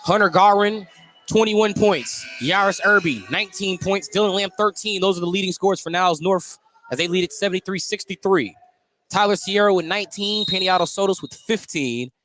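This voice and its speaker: A man doing live play-by-play radio commentary of a high school basketball game, descriptive and excited on the plays. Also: brisk, soft background music. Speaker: play-by-play sports announcer.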